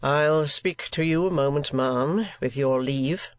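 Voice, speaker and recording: A woman voicing a blunt, stern gentleman in a storybook reading, speaking in a clipped, deliberate way. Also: low voice, dry recording.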